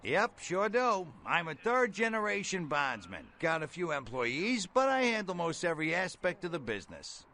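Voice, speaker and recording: A man playing a brash, folksy middle-aged bail bondsman in a video game, with a gravelly voice and blunt, slangy talk. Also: sits high, big pitch swings, normal speed, few pauses, pushed and bright, a little hiss or hum, full character voice. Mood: chatty. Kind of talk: video game character dialogue.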